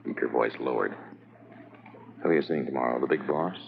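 A man playing a calm, methodical police sergeant in a 1950s radio drama, on a muffled, hissy transcription. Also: rumbling low, creaky. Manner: measured, calm and practical. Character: steady, methodical Mountie sergeant.

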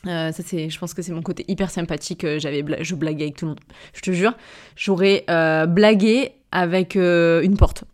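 A woman's voice: fairly low pitch, steady tone, normal speed. A woman with a southern French accent hosting a podcast, telling a personal story in a casual, rambling way with lots of hesitations and self-corrections. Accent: southern French, Avignon. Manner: chatty and rambling, full of hesitations, self-corrections and brief laughs; addresses the listener familiarly. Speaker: podcast host, entrepreneur.

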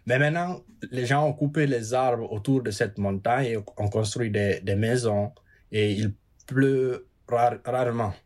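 A young man in his twenties from Guinea, a student and youth climate ambassador, speaking earnestly and a little haltingly. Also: medium pitch, darkish tone. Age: 20s. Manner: earnest, halting, with fillers and repeated words. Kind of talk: interview testimony.

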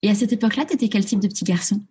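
A woman's voice: mid-range voice, rapid-fire, dark tone, husky. A woman interviewing a guest, asking friendly, encouraging questions in a casual tone.

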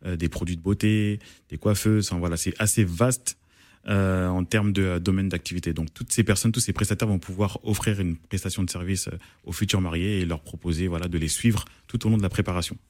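A man's voice: low-pitched, fairly even intonation, a little fast, darkish tone, no room sound. A man born in France, an event organizer giving a radio interview, speaking hesitantly with many fillers and restarts. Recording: dry radio studio.

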